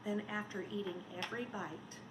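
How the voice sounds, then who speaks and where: A woman giving an interactive storybook read-aloud, expressive and coaxing, speaking directly to young children. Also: medium pitch, moderate pace. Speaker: teacher reading a storybook aloud. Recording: roomy echo, faint background noise.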